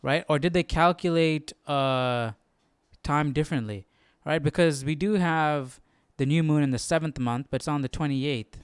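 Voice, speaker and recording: Clear-voiced man musing casually. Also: a little high, moderate pace, no room sound, clean studio sound. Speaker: Bible study livestream host. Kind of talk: casual chat, thinking aloud.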